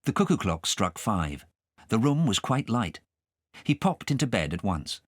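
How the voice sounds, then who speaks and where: A man reading a children's story aloud as an expressive audiobook narrator. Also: on the low side, measured pace, no echo, clean studio sound.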